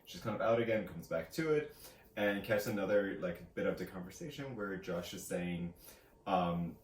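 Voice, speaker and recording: A man's voice: low voice, expressive, normal speed. A man chatting casually as a vlog co-host, retelling a story in a rambling, hesitant way. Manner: rambling, hesitant, quoting characters in casual asides. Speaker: co-host of a book discussion vlog. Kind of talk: casual chat, retelling a novel's plot. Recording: echoey room, faint background noise.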